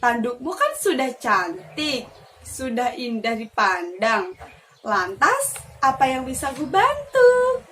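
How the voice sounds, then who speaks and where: A woman voicing a wise, helpful little mouse deer in a storybook fable, speaking in a measured, advising way. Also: high voice, big pitch swings, a bit bright, heavily acted. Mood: questioning. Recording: distant mic with faint noise.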